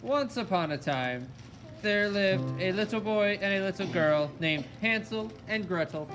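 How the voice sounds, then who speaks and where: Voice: mid-range voice, expressive, unhurried, loud music behind the voice. A narrator telling a fairy tale in a storytelling style for a children's puppet show.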